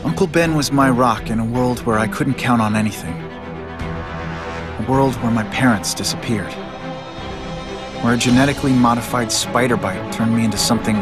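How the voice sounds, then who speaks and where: An actor with an American accent playing a young, wry, wisecracking but grieving superhero in a video game. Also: male voice, mid-range voice, close to an everyday voice. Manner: wry, quippy. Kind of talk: video game voice acting, narration and banter. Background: music.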